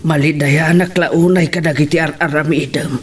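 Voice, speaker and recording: A man's voice: a little high, steady tone, normal speed, slightly rough, recorded from a distance. An actor playing a weary, worried old grandfather, sighing and pleading in an Ilocano radio drama.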